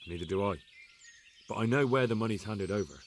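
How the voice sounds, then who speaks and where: An actor playing an earnest, measured young medieval man-at-arms in a video game. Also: on the low side, slight background noise, natural voice. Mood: sober, dutiful. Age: young adult.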